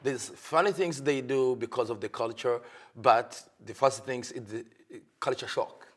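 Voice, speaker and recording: A man from Africa, a pastor answering interview questions earnestly in halting, learned English. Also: mid-range voice. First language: not English. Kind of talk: TV interview guest.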